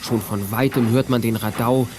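Guitar music plays clearly behind an actor as a male storyteller with a mid-pitched voice. He narrates a children's detective adventure in the scripted style of a 1980s audio play. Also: steady tone, normal speed, a little mellow, natural voice. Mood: measured narration.

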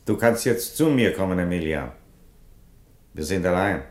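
A man's voice: low voice, expressive, normal speed, roomy sound. A man playing a solemn, defiant, fatherly Roman emperor in a theatrical radio drama, declamatory in manner. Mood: calm, solemn. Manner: solemn, declamatory, from whispers to thunder.

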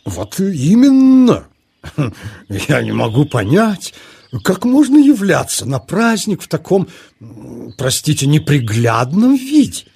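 A pompous, gallant little beetle for a children's audio play. The voice is very breathy and husky, leaping all over in pitch at an unhurried pace. He blusters and fusses in a mannered, boastful way. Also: wildly swooping pitch, a little mellow, full character voice. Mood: stiff disapproval. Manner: blustering, mannered, hesitant interjections.

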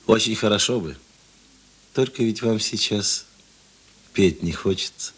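A man acting a middle-aged, thoughtful and kind police investigator in a Russian radio play, husky and measured. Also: fairly low pitch. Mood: wry, self-deprecating. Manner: measured, self-deprecating, reflective.

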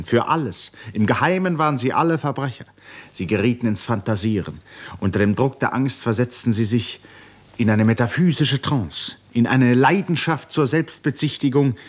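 A man narrating a 1950s German radio drama in a grave, literary style, measured and solemn with dramatic pauses. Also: medium pitch, close to an everyday voice. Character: grave, literary male narrator of a tragic novel.

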